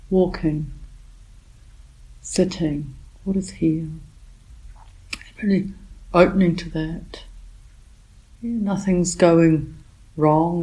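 Low, slow-speaking woman guiding meditation. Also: mellow, low-quality recording. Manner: unhurried, with short phrases and long pauses. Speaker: meditation teacher. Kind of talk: guided meditation, softly spoken instruction.

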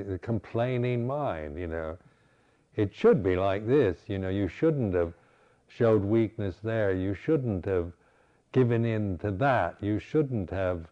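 An older man, a Buddhist teacher, giving a reflective dharma talk with halting restarts. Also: lowish voice, warm tone, highs cut off. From United States.

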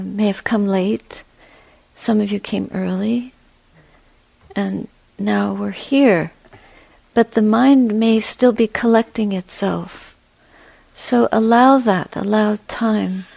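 A woman meditation teacher speaks very slowly and gently, leaving space between short phrases. Her soft voice is husky with a creaky edge, guiding listeners step by step in a measured way. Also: mid-range voice, no echo, low-quality recording. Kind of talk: guided meditation talk.

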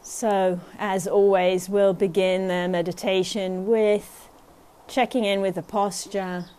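A woman meditation teacher giving a gentle, unhurried talk at a retreat, often checking in with her listeners. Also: mid-range voice, level tone, clean tone.

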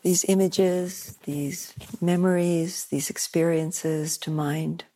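Slow, mellow woman guiding meditation. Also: lowish voice, level tone. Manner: soft-spoken with long pauses, repeating phrases. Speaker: meditation teacher. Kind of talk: guided meditation. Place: meditation room at a retreat center.

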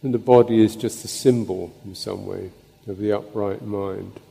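A man teaching as a Buddhist monk, leading a guided meditation in a contemplative, halting way with pauses between short phrases. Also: fairly low pitch, steady tone, vocal fry, dark tone, slightly rough. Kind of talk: guided meditation and Dhamma talk. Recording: long reverberant hall echo.

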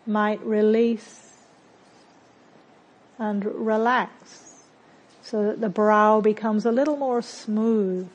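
A woman teaching meditation, guiding a body scan in a calm, gentle way with long pauses between short phrases. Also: medium pitch, low-quality recording.

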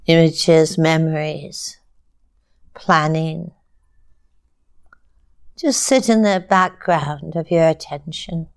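A woman teaching a guided meditation in a calm, measured way, with long pauses between short phrases. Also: low-pitched, clean tone.

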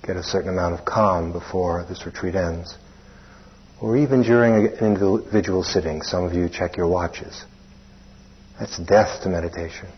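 A man leading a guided meditation as a teacher, speaking plainly in short, unhurried phrases with long pauses. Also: deep voice, husky. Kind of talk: guided meditation instruction, spoken live. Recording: dull, muffled lo-fi recording.

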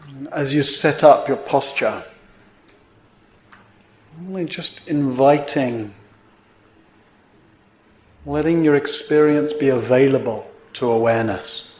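A man leads a guided meditation at a very slow pace, leaving pauses between short, gently instructive phrases. His voice sits at an ordinary middle pitch for a man. The room around him adds a clear echo. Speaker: meditation teacher. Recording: roomy, echoing indoor sound.

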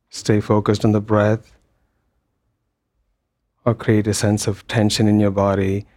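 Slow, even-voiced man guiding meditation. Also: lowish voice. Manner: gentle, unhurried, pausing between short phrases. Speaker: meditation teacher. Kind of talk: guided meditation. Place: meditation hall.

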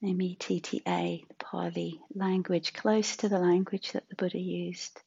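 A woman teaching a guided meditation in a soft, breathy, husky voice, gentle and unhurried with long pauses. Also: on the low side, little pitch movement, muffled recording.

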